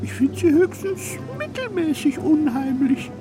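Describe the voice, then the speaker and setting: A squeaky, theatrical little ghost. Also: very animated, measured pace, over music, low-quality recording. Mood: offended, haughty. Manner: theatrical, affectedly dignified. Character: Hugo, vain, easily offended, likeable little ghost. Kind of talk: children's radio play acting.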